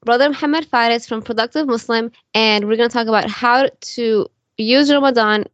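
A woman's voice: a little high, a little fast, no echo, dull audio. A woman fitness coach hosting her own podcast, upbeat and welcoming as she introduces and praises her guest.

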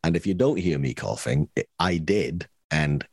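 Very deep, hoarse-voiced man. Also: animated, normal speed, darkish tone, no echo, clean studio sound. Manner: reflective, meandering. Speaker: podcast co-host. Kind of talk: casual podcast chat.

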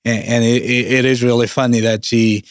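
A man in his thirties from Portugal with a Portuguese accent, a guest telling his family story in an interview, talking haltingly with many restarts. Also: mid-range voice, level tone, no room sound, clean studio sound. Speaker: Salvation Army officer, guest.

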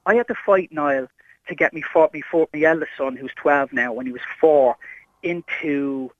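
Phone-in caller, Irish male, middle-aged. Dull lo-fi line, fairly high sharp voice, insistent repetition. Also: normal speed, no room sound. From Ireland. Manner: emphatic, repeats phrases insistently. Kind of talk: impassioned personal anecdote and opinion.

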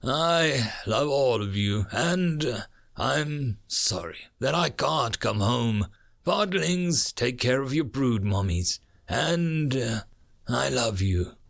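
A man voicing a wounded, exhausted alien marine in a story, devoted and brave, speaking haltingly and earnestly through pain. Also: medium pitch, lively intonation, vocal fry, fairly bright tone, full character voice. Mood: tender, farewell.

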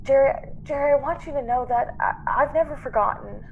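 Casting: actress as doomed young heroine, high voice, tender, halting, earnest radio melodrama, slight noise. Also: female voice, gravelly, phone-quality sound. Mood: longing, urgent. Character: doomed young woman, tender, tearful, brave stowaway. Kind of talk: classic radio drama acting.

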